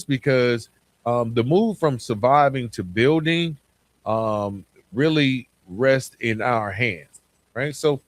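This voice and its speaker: A man hosting a podcast in a reflective monologue, speaking earnestly and with deliberate emphasis straight to his listeners. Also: medium pitch. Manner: earnest, addresses listeners directly as guys. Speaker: podcast host.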